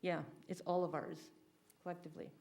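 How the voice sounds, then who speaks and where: A woman chairing a public school board meeting, her slightly low voice softened and muffled by a face mask. She talks emphatically, thanking speakers and stressing community. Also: level tone, brisk, gravelly.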